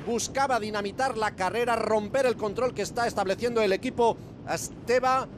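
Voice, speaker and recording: A man with an accent from Spain commentates on race highlights in a high-pitched voice. Clear rumbling noise of vehicles and the outdoors runs behind him. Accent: Spanish (Spain). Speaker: sports commentator.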